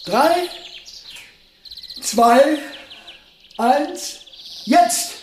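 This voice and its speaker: Elderly male physicist, excitable, self-satisfied, formal wording, slow delivery, radio drama, echoing room with slight noise. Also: extremely high pitch, heavily acted. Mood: excited, commanding. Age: older. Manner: formal, pedantic, elaborately precise phrasing. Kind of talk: radio play acting. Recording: roomy echo, faint noise.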